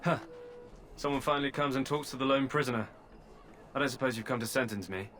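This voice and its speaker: A man's voice: mid-range voice, fast talker, fairly bright tone, slight background noise, lightly acted. Caged deserter, hungry and cheeky, voiced by a man; wry, wheedling delivery. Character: hungry, flirtatious male prisoner, a deserter. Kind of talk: video game voice acting.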